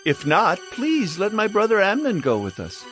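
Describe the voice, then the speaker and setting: A man's voice: high-pitched, huge pitch swings, moderate pace, fairly bright tone, soft background music, heavily acted. A man playing a guarded, protective prince in a dramatized audio Bible. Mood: courteous, calculating. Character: guarded prince, protective brother hiding his grudge.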